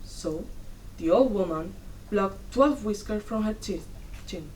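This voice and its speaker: A young woman giving a dramatic reading of a story, in measured, deliberate short phrases. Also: mid-range voice, expressive, darkish tone. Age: young adult. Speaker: student performer in a dramatic reading. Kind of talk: dramatic storytelling read from a script. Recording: distant mic, noisy room.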